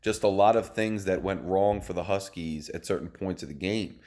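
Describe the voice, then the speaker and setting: A man with a hoarse voice hosting a casual postgame football recap, rambling and enthusiastic, recorded on a phone. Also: sits low, fairly even intonation, moderate pace, darkish tone. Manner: rambling, enthusiastic, many fillers. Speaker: host. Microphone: phone video.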